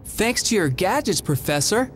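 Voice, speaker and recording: A woman's voice: mid-range voice, sing-song, moderate pace, a little hiss or hum, close to an everyday voice. A woman voicing a plucky, earnest young boy hero in video game cutscenes. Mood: earnest, modest. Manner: earnest, youthful. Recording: game music behind voice.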